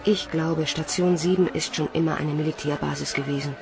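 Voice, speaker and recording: A woman's voice: low-pitched, fairly even intonation, brisk, vocal fry, crowd noise. A woman playing a stubborn but insecure young female recruit in a German science fiction radio drama. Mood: brooding.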